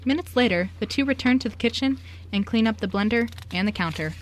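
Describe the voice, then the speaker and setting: A woman's voice: on the high side, fast talker, poor audio. A young woman doing amateur scripted reading as a narrator and poetry reader.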